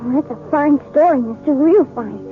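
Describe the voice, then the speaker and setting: A woman's voice: high-pitched, normal speed, natural voice. A woman playing an earnest, shy young farm boy with rustic, plain speech in a radio western. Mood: thoughtful, downcast. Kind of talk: 1950s radio western acting. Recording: old tinny radio broadcast with music.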